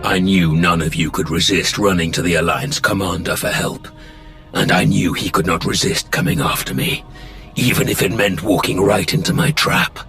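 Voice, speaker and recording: Scheming Sith villain, deep male voice, slow threat, game score faintly behind. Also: creaky, fairly bright tone, soft background music. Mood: menacing. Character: menacing male Sith warlord, cold and scheming. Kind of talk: video game cutscene acting.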